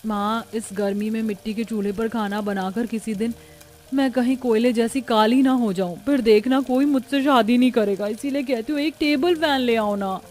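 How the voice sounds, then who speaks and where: Woman voicing a high-pitched young cartoon bride. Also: steady tone, normal speed, a little mellow, close to an everyday voice. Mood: complaining. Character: cheerful young new bride, grateful, marvelling at her home. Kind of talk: Hindi cartoon story voicing.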